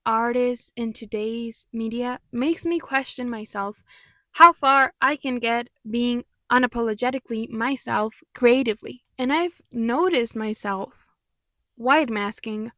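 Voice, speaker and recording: A woman's voice: fairly high pitch, measured pace, a bit bright, no echo, studio quality. A young woman from Mexico with a Mexican accent, whose first language is Spanish, giving candid, reflective commentary as a vlogger. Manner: earnest, candid, sometimes exasperated. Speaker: vlogger and pop artist.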